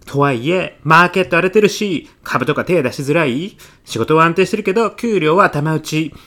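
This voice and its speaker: A man's voice: fairly high pitch, moderate pace, fairly bright tone. A man playing a mild, self-effacing classmate in his thirties with a sly salesman's streak, speaking politely in a comedy radio drama. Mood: mimicking sales pitch. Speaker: actor in an audio comedy sketch.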